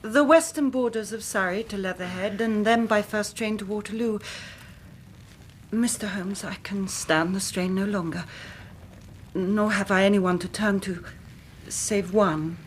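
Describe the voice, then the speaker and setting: A woman's voice: mid-range voice, unhurried, a little hiss or hum, lightly acted. An actress playing a frightened, well-bred Victorian woman in her thirties, speaking formally and hesitantly with an English accent in a period radio drama. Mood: anxious, earnest. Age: 30s.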